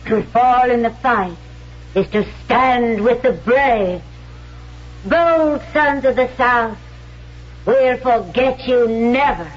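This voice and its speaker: A woman acting a stage actress who gives a fervent, measured, declamatory recitation of patriotic verse in a 1970s radio drama. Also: a little high, slight background noise. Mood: solemn fervor. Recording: muffled vintage radio broadcast.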